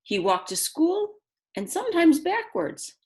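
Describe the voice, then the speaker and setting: A woman's voice: very high-pitched, big pitch swings, unhurried, a little mellow. A woman teacher reading a children's book aloud, speaking expressively and directly to young listeners with chatty, simple asides.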